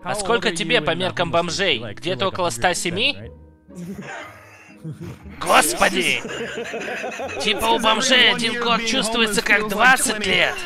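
A man's voice: high voice, unhurried, long pauses, music in the background. A man dubbing a gamer who leads the group, bossy and teasing. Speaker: voice-over dubber of a gaming group. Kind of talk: comic gaming chat dub.

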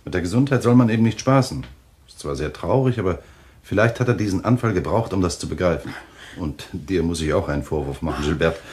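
An actor playing a practical, gently admonishing gentleman host in a German radio thriller, with a measured, firm delivery. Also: sits low, natural voice. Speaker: actor as a host of a dinner evening. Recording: slight background noise with clicks.

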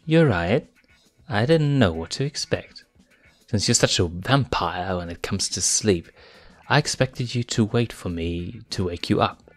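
A man's voice: on the low side, lively intonation, soft background music. A man voicing a playful young lioness cub girl with a teasing, bouncy manner in a fanfiction reading. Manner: teasing, bouncy, quick to tease.

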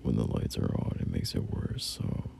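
A man playing a gentle, doting boyfriend in an ASMR roleplay, half-whispering soothing, hesitant reassurance in a breathy murmur. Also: extremely low pitch, moderate pace, a little hiss or hum, heavily acted. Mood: sympathetic, reflective. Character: gentle, doting boyfriend comforting an unwell partner.

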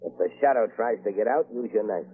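An actor playing a ruthless, menacing gang boss in a 1930s radio crime drama, with snarling, threatening tough talk. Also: male voice, fairly low pitch, fast, slightly rough. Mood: commanding, threatening. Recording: muffled scratchy 1930s radio recording.

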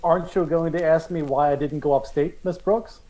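A man's voice: a little high, steady tone, fairly quick, warm tone, close to an everyday voice, poor audio. An actor playing a mild, polite, puzzled man in an old-time radio comedy.

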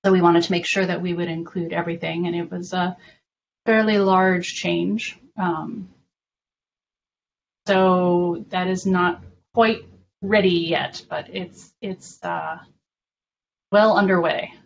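A woman explaining things informally in an online meeting, measured and a little hesitant as she goes. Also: lowish voice, steady tone, vocal fry. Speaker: documentation working group member presenting. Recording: dull lo-fi online call with faint noise.

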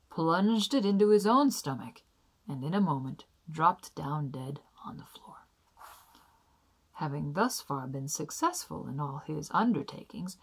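A young adult woman reading a children's fairy tale aloud in a deliberate, careful storybook manner. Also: low-pitched, slightly rough. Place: small indoor room.

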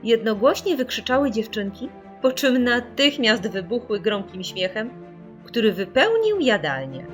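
Animated woman narrating a children's story over music. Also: on the high side, a little slow. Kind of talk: expressive scripted storytelling for children.

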